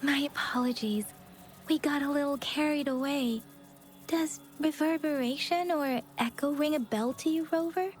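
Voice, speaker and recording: A woman voicing a gentle, earnest young female guide in an anime-style game, courteous and measured in explaining. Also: high-pitched, lightly acted. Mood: polite explaining. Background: game music.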